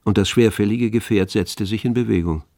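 A man's voice: lowish voice, brisk. A man reading scripted literary narration as the narrator of a radio play. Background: music, with violin.

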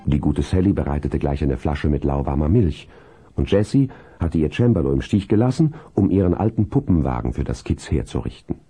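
An actor narrating a children's radio play as a storyteller, in scripted, measured narration. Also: male voice, a bass voice, lively intonation, warm tone, husky, faint music behind, heavily acted. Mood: measured storytelling. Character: calm male narrator of a children's horse story. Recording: 1970s vinyl radio-play recording.

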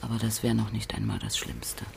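A man's voice: fairly low pitch, little pitch movement, brisk, vocal fry, slight background noise. An actor playing a bitter, wounded man in a German radio drama, speaking harshly.

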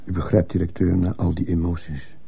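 A man playing a troubled schoolteacher in a radio drama, speaking with restraint and quiet insistence. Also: deep voice, monotone, brisk, gravelly, breathy edge, full character voice. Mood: subdued, troubled. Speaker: actor in a radio play. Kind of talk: Dutch-language radio drama acting.

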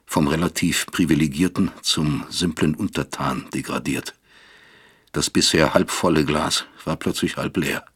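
An extremely deep, creaky and husky male narrator in a radio play. He broods like a remorseful father, reflective, literary and bitter. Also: fairly even intonation, a little slow, a bit bright, full character voice. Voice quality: gravelly, husky. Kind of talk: radio drama first-person narration.

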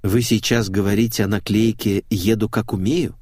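Man reading as a wry, low-key story hero. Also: steady tone, normal speed, darkish tone, no echo, studio quality, close to an everyday voice. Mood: bewildered, flat. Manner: terse, ironic, questioning. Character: wry male first-person hero, patient and mocking.

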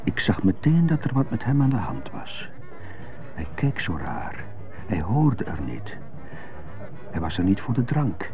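Through a thin, phone-like recording with music behind, a brooding, indignant prisoner in a radio play. His pitch swings as he mutters questions to himself in short bursts, with a stutter that surfaces on some words. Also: wildly swooping pitch, measured pace, long pauses, full character voice. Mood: brooding, subdued. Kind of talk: radio drama monologue. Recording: muffled narrow phone-like sound, music behind.

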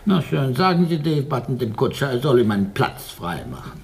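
An actor playing a fussy, good-natured older English lord, hesitant and genteel, in a German radio play. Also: male voice, mid-range voice, very animated, a little fast, vocal fry, a little mellow, breathy edge, heavily acted. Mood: flustered, relenting.

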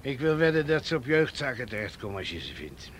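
A good-natured, hesitant detective's colleague played by a male actor in a radio drama. His intonation is lively and questioning, heard through clear noise with vehicles around. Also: medium pitch, fast, natural voice. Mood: easygoing. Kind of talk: naturalistic Dutch radio play acting.

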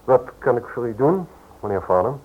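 A man's voice: medium pitch, lively intonation, moderate pace, lightly acted. An actor playing a brusque, cynical male lawyer in a Dutch radio drama, curt and sceptical with his visitor. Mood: businesslike. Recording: muffled, lo-fi old radio recording.

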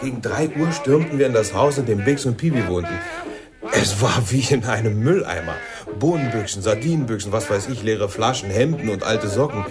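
An actor playing an eager jazz musician who recalls wild nights in a radio play. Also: male voice, lowish voice, lively intonation, a little fast, over music, close to an everyday voice.

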